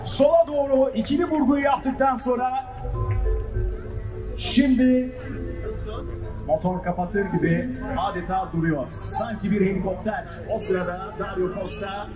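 Guy announcer hyping a crowd outdoors, high voice, echoey pa, music playing behind him. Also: far from the mic. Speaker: live event announcer at an air show and flying contest. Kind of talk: excited live commentary over a public address system. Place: outdoor seaside public event. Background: music and crowd noise.

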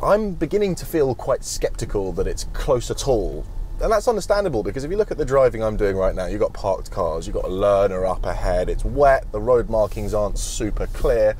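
A man's voice: lowish voice, lively intonation, a little fast, darkish tone, slight background noise. A young man with a British accent presenting a car review to camera. He talks in a chatty, wry, opinionated way while driving, and later stands outdoors at a charger. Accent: British English. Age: young adult.

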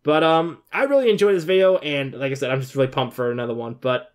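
A man's voice: mid-range voice, fast. A man in his twenties giving casual, rambling, informal off-the-cuff commentary as a reaction video host. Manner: rambling, informal, mild swearing. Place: bedroom, indoors.

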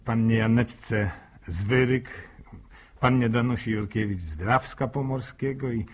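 A man's voice: mid-range voice, a little slow, close to an everyday voice. An actor playing a shy, grateful young village man in a 1960s radio drama, speaking hesitantly and repeating words. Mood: bashful, grateful. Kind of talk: 1960s radio acting, informal thank-you speech.

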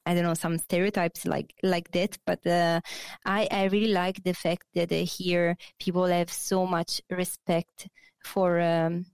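A slow, unhurried Italian woman in her thirties, speaking over a headset on a video call. Her voice is lowish and smooth, and the sound is close and dry. She pauses often and restarts her sentences, with plenty of fillers. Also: level tone, darkish tone, dry recording. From Italy, Naples. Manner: hesitant, many fillers and restarts. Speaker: guest, architect. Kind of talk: casual interview. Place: bright office.

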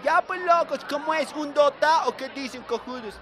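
A man playing a cheeky, rowdy teenage schoolboy with slangy, excitable chatter in a comic monologue. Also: very high voice, moderate pace, pitched above the performer's own voice.

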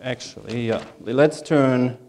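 A man's voice: medium pitch, slow pace, vocal fry, darkish tone, slight background noise. A man with a German accent, a native German speaker, preaching a sermon as a pastor in a reflective, conversational way, repeating phrases for emphasis.

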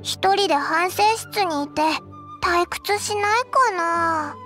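A woman's voice: very high-pitched, takes it slowly, pushed and bright, full character voice. A woman voicing a tiny, talkative fairy companion with cheeky, boyish speech in an anime video game. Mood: curious, teasing. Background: background music.

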